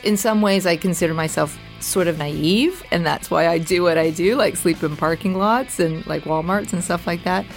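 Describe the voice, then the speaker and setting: A woman's voice: on the low side, normal speed, few pauses, soft background music. A woman photographer in an interview clip, speaking in a reflective, candid way.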